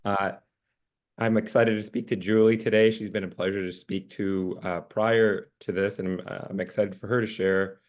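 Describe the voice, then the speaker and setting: A man hosting a webinar interview with a husky voice today, joking loosely and filling pauses with "uh". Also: lowish voice, flat delivery. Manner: jokey, loose, filler-heavy. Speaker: interview host.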